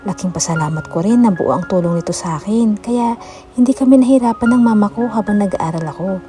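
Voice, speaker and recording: A woman's voice: medium pitch, fairly quick, dark tone, lightly acted. A woman voicing a determined, hopeful young eldest daughter in an audiobook, earnest and reflective. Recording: music behind the voice.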